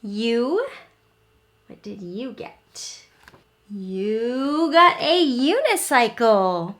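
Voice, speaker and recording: A woman hosting an interactive read-aloud for young children, speaking in simple, expressive teacher talk and often pausing to ask the listener questions. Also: a little high.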